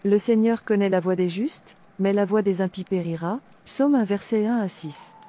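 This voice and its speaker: A woman playing a devout, fearless captive in an animated drama, reciting scripture in a declamatory way. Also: medium pitch, normal speed, natural voice. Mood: steadfast, preaching. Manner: declamatory, reciting verses with references.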